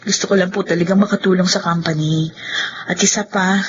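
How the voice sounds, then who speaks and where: An actress playing a meek, burdened Filipino working wife in a radio drama, polite and deferential. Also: female voice, lowish voice, steady tone, a little fast, slightly rough. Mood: polite, grateful. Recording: dull, noisy lo-fi drama recording.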